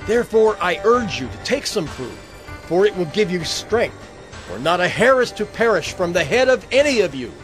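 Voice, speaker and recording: A man's voice: sits high, sing-song, normal speed, fairly bright tone. A man playing an earnest apostle who exhorts frightened shipmates in a dramatized scripture audio drama, with emphatic, oratorical delivery. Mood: earnest urging. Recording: music bed under the voice.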